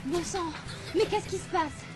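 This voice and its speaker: A woman's voice: very high voice, a little slow, over music. A woman in an amateur French anime dub playing an anxious young girl looking for her father. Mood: shocked, worried.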